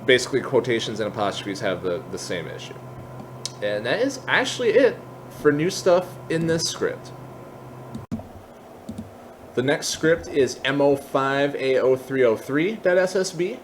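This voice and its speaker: Man with lively pitch walking through a tutorial. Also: a little slow, a little hiss or hum. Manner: thinking aloud, openly tentative about details. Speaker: tutorial presenter. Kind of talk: software tutorial walkthrough, informal explanation.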